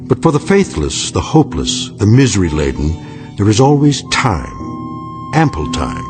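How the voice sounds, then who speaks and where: A man performing as a solemn, ominous anthology narrator, measured and portentous in dramatic radio narration. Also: medium pitch. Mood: bleak, ominous.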